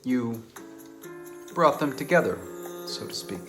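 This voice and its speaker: A man's voice: medium pitch, lively intonation, takes it slowly, over music. A man playing a reserved, wistful, bookish bachelor in a dramatized novel reading, with a formal, musing and slightly hesitant delivery. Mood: musing, polite.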